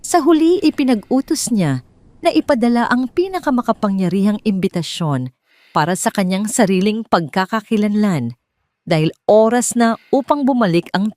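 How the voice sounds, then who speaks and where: A woman's voice: medium pitch, warm tone, dry recording. A woman with a Filipino accent reading scripted third-person dramatic narration as an audio drama storyteller.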